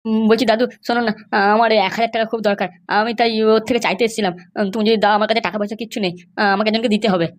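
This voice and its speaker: A woman's voice: fairly high pitch, level tone, very fast, close to an everyday voice. A woman voicing a brash young male suitor in a comedy cartoon. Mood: nervous, pleading. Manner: blustering, pleading. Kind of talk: amateur comedy cartoon dub.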